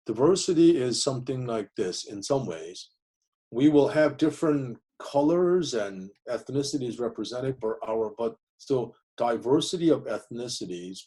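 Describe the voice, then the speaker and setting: Husky Korean-born man, thoughtful and halting. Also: mid-range voice, darkish tone. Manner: hesitant, many fillers and restarts. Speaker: interviewee, divinity school professor. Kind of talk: reflective interview answers.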